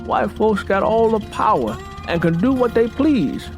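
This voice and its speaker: An actor playing a stubborn, plainspoken young Southern husband in an audio drama, folksy and insistent, with a Southern US accent. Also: male voice, high voice, animated, a little slow, creaky, over music, full character voice. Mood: resigned, earnest. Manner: folksy, insistent, long-winded.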